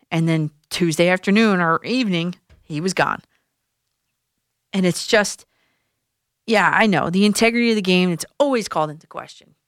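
Woman host on sports radio, close dry studio mic, lowish voice, chatty and explaining stuff. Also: a bit bright, dry recording. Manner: conversational, explanatory, some restarts. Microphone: studio microphone.

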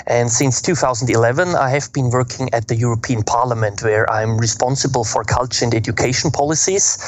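A man's voice: mid-range voice, fairly quick, slight background noise. A man from Austria, a guest policy advisor giving formal, orderly interview answers.

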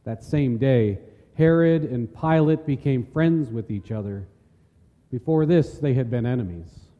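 A man narrating scripture in a measured, solemn way as part of a dramatic reading. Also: medium pitch, expressive, dark tone. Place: large reverberant church. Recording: long church hall reverb.

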